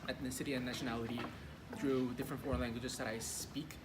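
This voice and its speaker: A man's voice: mid-range voice, little pitch movement, fast. A young man from Korea, chatty and full of fillers in his answers. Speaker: interviewee. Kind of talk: casual interview answers. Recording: loud background noise.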